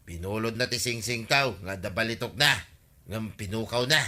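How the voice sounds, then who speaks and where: Sharp, bright-voiced aggrieved village man. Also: on the low side, moderate pace. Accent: Ilocano. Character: aggrieved, gossipy village man demanding his lost things back. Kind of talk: Ilocano radio comedy drama acting.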